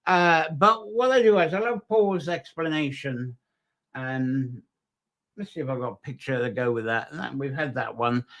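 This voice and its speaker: A man's voice: a little high, fairly bright tone. A man hosting a casual nature talk, rambling with many fillers and restarts. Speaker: host.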